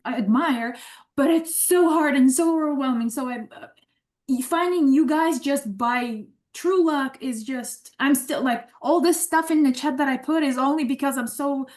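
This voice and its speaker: A woman's voice: high-pitched, moderate pace, a little mellow. A woman with a Ukrainian accent, a teacher in training, talking eagerly in a rambling way with many restarts during a casual online session. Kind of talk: casual webinar chat.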